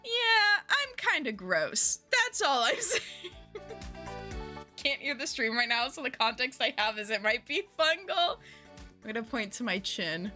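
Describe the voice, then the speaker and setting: A woman's voice: high voice, sing-song, measured pace, sharp tone, faint music behind. A young woman streamer chatting casually with her viewers, giggly and self-deprecating, a little phlegmy with a lingering cough.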